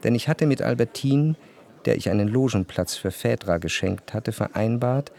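An actor playing a reflective society narrator in a literary radio drama, with a measured, introspective way of talking. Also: male voice, mid-range voice, creaky, a little mellow, close to an everyday voice. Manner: measured, elaborate, introspective phrasing. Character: reflective male narrator recalling high-society soirées.

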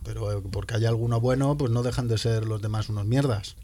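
A man's voice: medium pitch, steady tone, fast talker, over a noisy crowd. A man hosting a casual interview, teasing and blunt. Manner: teasing, blunt, swears freely. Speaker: podcast host.

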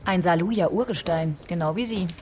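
An actress playing a brisk, probing police detective with a direct, wry manner, in a naturalistic radio drama. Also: female voice, fairly low pitch, darkish tone, a little hiss or hum, natural voice.

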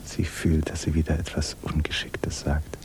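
A man narrating a 1950s radio drama in a hushed, measured, grave and restrained manner. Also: very deep voice, completely flat delivery, in a whisper. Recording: noisy 1950s radio recording.

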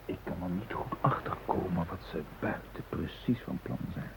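An actor playing a practical, caring male hostage in a radio drama, talking in a measured, reasoning way. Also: sits low, creaky, heavy background noise. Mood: concerned. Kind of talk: naturalistic radio drama acting.

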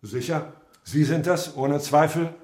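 Man acting a jeering secret police officer, higher male voice, needling, menacing, roomy sound, radio drama. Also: measured pace, a little mellow, natural voice. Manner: mock-jovial, sneering, needling.

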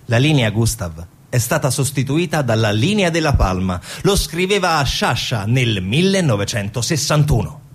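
A man with a husky voice giving scripted dramatic narration for a theatre piece. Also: medium pitch, very animated, moderate pace, hardly any pauses.

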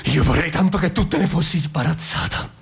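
An actor playing a wounded, volatile husband in a theatrical radio drama, insistent and emotionally heated. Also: male voice, a little high, brisk, vocal fry, husky, exaggerated voice. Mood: furious, spiteful. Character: wounded, volatile husband, pleading then spiteful. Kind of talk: theatrical stage-play acting for radio.

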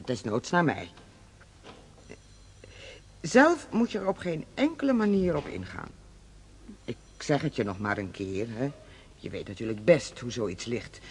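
An actor playing an earnest senior hospital medic in a radio drama, instructing and cautioning in an insistent, measured manner. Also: male voice, fairly high pitch. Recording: slightly noisy old radio play recording.

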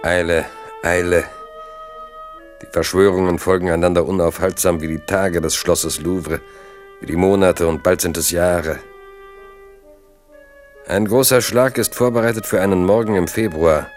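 Radio play narrator, male, very low voice, weighty clipped phrases, faint music underneath. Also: fairly even intonation, a little slow, pauses often. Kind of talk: dramatic scripted narration in German radio drama.

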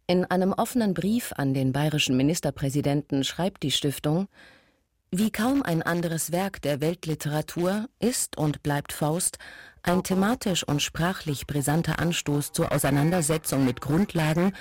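An actress playing a composed radio news reader, delivering a scripted bulletin formally and with measure. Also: female voice, low voice, a little mellow, dry recording, studio quality.

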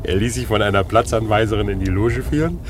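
An actor playing a smooth, worldly middle-aged businessman, condescending and suave, in a 1950s radio drama. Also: lowish voice, quick pace, natural voice. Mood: sly, gossiping. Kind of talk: 1950s German radio play acting. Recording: noisy 1950s radio play recording.

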